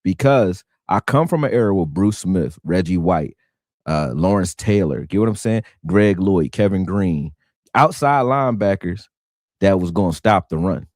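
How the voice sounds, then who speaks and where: A man rants through a live sports talk stream. His pitch swings widely as he talks, and he keeps leaning on "you know what I'm saying." The sound is close and dry. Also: animated, normal speed, a little mellow, dry recording. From Philadelphia. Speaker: sports show host.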